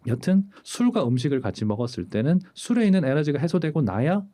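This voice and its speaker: A man in his forties, a doctor hosting a casual chat, explaining things in a lively storytelling way and acting out quoted lines. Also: a little high, fast talker. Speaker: urologist host.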